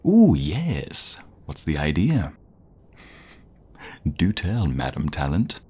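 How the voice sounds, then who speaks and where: Man playing a low-voiced, animated dorky boyfriend. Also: slow pace, breathy edge, exaggerated voice. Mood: eager, playful. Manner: playful, teasing, enthusiastic, stammering and giggly. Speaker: audio roleplay performer. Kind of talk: intimate boyfriend roleplay, casual improvised-sounding chat.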